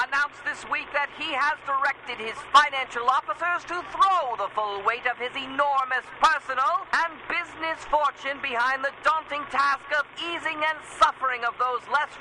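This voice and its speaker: An actor playing an earnest, grandiose 1930s news announcer in a radio drama, declaiming every phrase. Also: male voice, very high-pitched, sharp tone, a little hiss or hum, exaggerated voice, poor audio. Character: breathless, earnest 1930s newsreel announcer, male.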